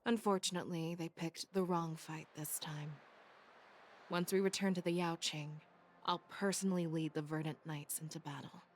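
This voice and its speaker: A woman's voice: low-pitched, fairly even intonation, normal speed, slight background noise, close to an everyday voice. A woman voicing a stern, resolute warrior general in a video game, speaking formally and solemnly. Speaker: game character voice actor. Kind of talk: dramatic game voice acting. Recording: music behind the voice.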